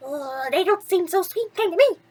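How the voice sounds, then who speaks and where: A woman's voice: extremely high pitch, animated, brisk, a little mellow, pitched above the performer's own voice. A woman voicing a small, wary and protective male mouse in a storybook. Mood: warning. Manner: cautioning.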